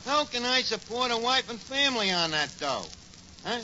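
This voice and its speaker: An actor playing a wisecracking tavern manager with a New York accent and slangy, mock-grand speech in old radio comedy. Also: male voice, extremely high pitch, sing-song, moderate pace. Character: scheming, wisecracking male tavern manager, malaprop-prone. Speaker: sitcom lead. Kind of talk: 1940s radio comedy acting. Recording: noisy, dull 1940s radio recording.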